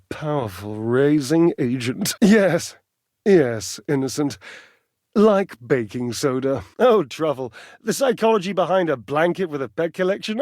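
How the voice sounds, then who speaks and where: Game role: vain, flirty animate dryer. High male voice, wildly swooping intonation, florid wit, theatrical flourish. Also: no echo, clean studio sound. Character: flamboyant, vain, witty talking clothes dryer, male, wistfully lovesick. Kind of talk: video game character acting.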